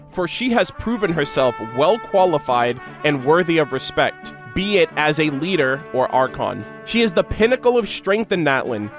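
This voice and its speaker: A man doing casual reaction commentary and reading game lore aloud, as an enthusiastic fan who uses slang. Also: high-pitched. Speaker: gaming reaction video creator.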